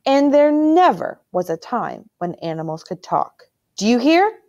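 A woman's voice: mid-range voice, big pitch swings, slow, dark tone, muffled recording. Stern cold king, read by a woman for a storybook. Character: stern cold male king, menacing uncle.

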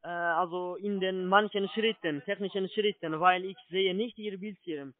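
High-pitched man on a muffled phone. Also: steady tone. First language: not German. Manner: polite, halting, with repeated confirmations. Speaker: call-centre phone agent. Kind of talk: salesman giving step-by-step instructions on a phone call. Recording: muffled, dry telephone line.

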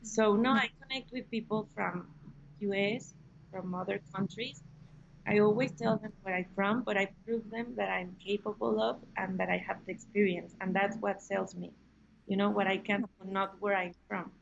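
A young woman from Mexico with a Spanish accent, answering interview questions over a video call in a halting way with many fillers. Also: mid-range voice, steady tone, creaky, lo-fi sound, slight background noise. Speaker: guest. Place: room at home.

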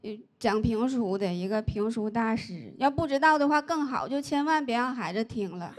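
A woman, doing a stand-up comedy set into a handheld mic on a large stage, telling stories deadpan and landing punchlines. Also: a little high, moderate pace. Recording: live audience laughter noise. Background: audience laughter.

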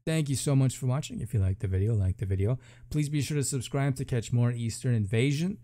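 A man's voice: mid-range voice, a little fast, mellow. A man giving casual, chatty commentary as a video game streamer, with an informal, jokey way of talking.

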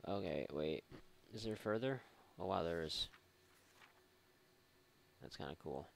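A man's voice: deep voice, expressive, unhurried, gravelly, a little hiss or hum. A man doing casual, irreverent let's play commentary, mocking and thinking aloud. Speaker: gaming YouTuber.

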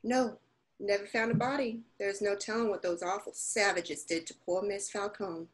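A woman's voice: mid-range voice, fairly even intonation, moderate pace, close to an everyday voice, poor audio. A woman playing a gossipy, worried frontier shopkeeper in a radio drama, fretful and hesitant. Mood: dismayed.

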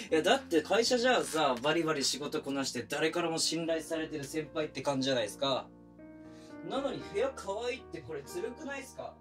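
A man's voice: fairly high pitch, expressive, a little fast, over music, close to an everyday voice. A man playing a pushy, flirtatious younger coworker with puppyish eagerness, speaking casually and intimately to the listener in a romantic audio drama. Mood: amused, teasing. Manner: casual junior-to-senior speech, teasing and coaxing. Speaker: voice actor in a romantic situation voice drama. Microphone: close binaural studio microphone.

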